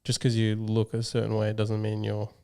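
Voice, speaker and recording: A man hosts a casual interview podcast in a low, dark and smooth voice with a bit of gravel. He speaks in a steady, flat tone and muses in a laid-back way, full of "like" and small hedges. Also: brisk. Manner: laid-back, reflective, lots of "like" and hedging. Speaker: podcast host.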